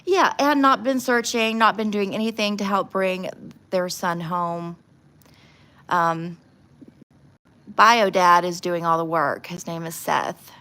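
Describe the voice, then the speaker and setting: A woman with a slightly low voice hosts a casual livestream. She speaks informally and frankly, offering her own opinions in a chatty, conversational way. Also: slow pace, sharp tone. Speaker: livestream host doing nail art. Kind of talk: casual livestream chat with true crime commentary.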